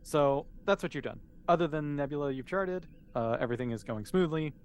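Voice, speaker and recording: A man's voice: on the high side, fairly quick. A man running a tabletop game as game master, explaining things in a patient, conversational, improvised way. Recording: clearly noisy background.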